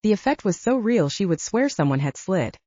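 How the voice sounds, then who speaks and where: A woman's voice: mid-range voice, very animated, brisk, mellow, dry recording, highs cut off. A woman reading a romance novel aloud as a scripted audiobook narrator.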